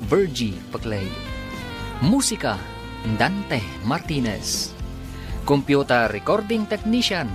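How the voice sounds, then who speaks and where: Filipino guy announcing a radio drama, dramatic pitch swings, music behind him. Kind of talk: scripted radio narration.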